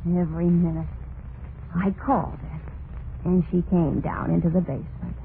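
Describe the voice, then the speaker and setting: An actress playing a tormented, murderous young wife in a vintage radio horror play, confessing in a brooding, repetitive monologue with plain folksy speech. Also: female voice, lowish voice, steady tone, moderate pace, gravelly, phone-quality sound. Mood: obsessive, confessing. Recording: noisy, band-limited vintage radio recording.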